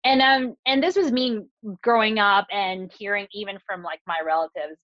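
A woman's voice: mid-range voice, normal speed, no room sound, dull audio. A woman from the Philippines talking casually, with many fillers and restarts. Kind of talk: casual conversation.

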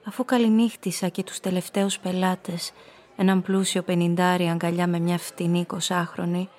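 A woman's voice: lowish voice, flat delivery, a little mellow, lightly acted. An actress playing a cool, calculating nightclub hostess, telling her story and speaking her lines in a composed, knowing radio drama style. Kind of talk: first-person noir radio play narration and dialogue.

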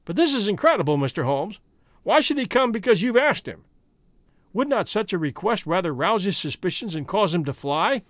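Guy doing a fretful police inspector for an audiobook, formal and doubtful, high animated voice. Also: moderate pace, no echo, clean studio sound. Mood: incredulous.

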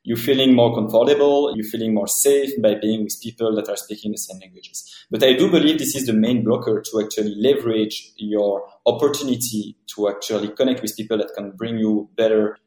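A man's voice: fairly low pitch, fairly quick, hardly any pauses, warm tone. A man with a French accent, a founder answering podcast interview questions earnestly in long, winding sentences. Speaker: startup founder guest. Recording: roomy echo.

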